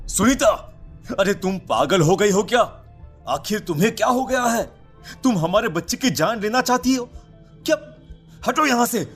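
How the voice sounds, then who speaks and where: Man acting an anxious young father, highish voice. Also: animated, moderate pace, pauses often, slightly rough, soft background music. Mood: alarmed, angry. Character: anxious, protective husband and father. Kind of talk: Hindi animated horror story acting.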